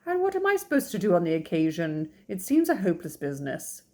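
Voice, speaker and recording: A woman voicing a calm older gentleman father from a classic novel, deadpan, measured and formal. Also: on the low side. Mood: unconcerned.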